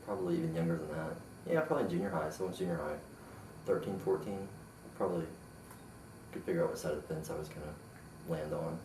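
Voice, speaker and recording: Late twenties guy, deep voice, laid back, far from mic, noisy room. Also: fast talker, long pauses. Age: 20s. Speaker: interviewee. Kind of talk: casual interview answers. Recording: roomy, distant mic, background noise.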